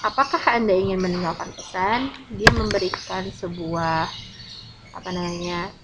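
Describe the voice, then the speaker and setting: A woman's voice: lowish voice, moderate pace. A woman with an Indonesian accent, a native Indonesian speaker, teaches English informally in an online lesson, explaining examples and translating as she goes. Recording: clearly noisy, with birdsong. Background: birds chirping, ambient noise.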